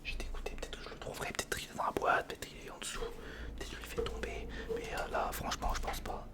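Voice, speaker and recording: An ASMR creator whispering informal, step-by-step commentary to the viewer while building something by hand, close to the microphone. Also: fast talker. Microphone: close recorder or studio microphone.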